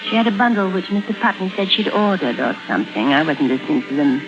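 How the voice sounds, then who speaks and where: A woman's voice: on the low side, very animated, fast. An actress playing a troubled woman painter with amnesia, brooding and intense, in 1950s radio drama style. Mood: haunted, brooding. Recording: dull vintage 1950s radio recording. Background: dramatic music.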